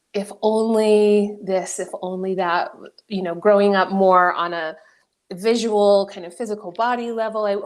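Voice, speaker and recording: A woman in her forties from New Mexico, a guest coach telling her life story in an interview, reflective and animated, often laughing. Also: medium pitch. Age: 40s.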